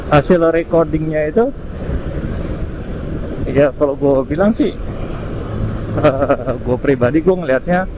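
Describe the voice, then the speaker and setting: A man chatting casually through an unscripted review, often pausing to search for words. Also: medium pitch. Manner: hesitant, searching for words, frequent tag words. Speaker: vlogger reviewing gear. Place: outdoors on the road at night. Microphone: helmet intercom microphone. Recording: muffled intercom mic with road noise. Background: vehicle and street noise.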